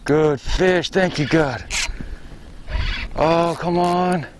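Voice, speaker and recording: A young man in excited self-talk while kayak fishing on open water, exclaiming and repeating short phrases. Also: fairly high pitch, drawn-out words. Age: young adult. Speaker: kayak angler, vlog host. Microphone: action camera on kayak. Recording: noisy outdoor action camera on water.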